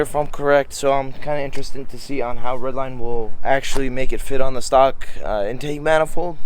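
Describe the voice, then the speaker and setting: A man's voice: medium pitch, clear, resonant tone. Man with a fading, worn voice. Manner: casual, rambling with fillers. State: losing his voice. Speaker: car vlogger host. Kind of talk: casual vlog narration. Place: car performance shop. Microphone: phone camera.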